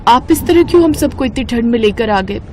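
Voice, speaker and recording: A woman's voice: on the high side, lively intonation, a little fast, noisy background, natural voice. A woman voicing a worried, complaining poor mother in a cartoon story. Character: worried, scolding poor young mother of a family.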